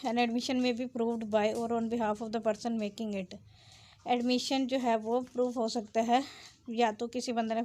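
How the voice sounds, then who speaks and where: A woman giving an explanatory law lecture, switching between Urdu and English and reading statutes haltingly before explaining them. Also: a little high, a little mellow. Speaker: law teacher.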